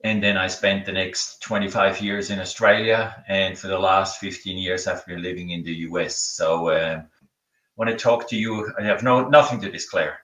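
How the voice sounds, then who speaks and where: Middle-aged man, Swiss accent, lowish voice, halting conference talk, distant echoey sound. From Switzerland. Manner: relaxed, halting, with many ums and restarts. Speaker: keynote speaker. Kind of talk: conference talk over video link. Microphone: video call. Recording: echoey room, from far off.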